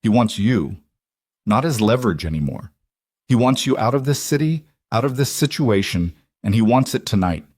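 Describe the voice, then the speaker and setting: A man voicing a controlled, quietly intense mafia boss in an audiobook, terse and grave. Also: medium pitch, animated, normal speed, no room sound, clean studio sound, close to an everyday voice. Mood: grave, urgent. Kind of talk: audiobook character dialogue.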